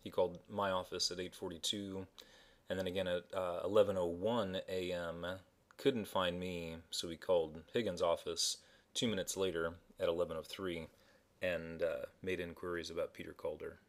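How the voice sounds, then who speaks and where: A man's voice: deep voice, little pitch movement, moderate pace, vocal fry. A man narrating informally as he introduces archived messages, in a hesitant, matter-of-fact way.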